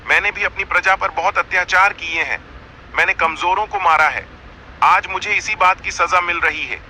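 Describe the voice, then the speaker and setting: A man's voice: sits high, moderate pace, pushed and bright, slight background noise, low-quality recording. A man voicing a proud lion king of the jungle, by turns imploring and imperious, in a cartoon fable. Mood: despairing.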